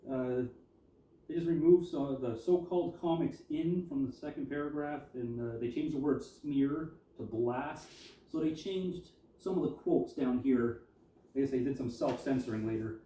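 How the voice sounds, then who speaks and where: A man's voice: medium pitch, normal speed, warm tone, slight background noise. A man presenting as a collector and historian, reading articles aloud and adding informal commentary. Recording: echoey room, distant mic.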